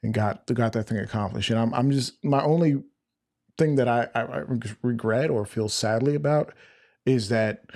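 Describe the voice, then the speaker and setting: Male podcast host, middle pitch, flat, chatty, loose delivery. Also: normal speed, dry recording, studio quality. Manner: flat-voiced, rambling and chatty, self-described. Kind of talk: casual interview chat.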